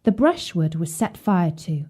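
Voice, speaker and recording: A woman reading a scripted children's bedtime story in a measured, expressive way. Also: fairly low pitch, slightly rough.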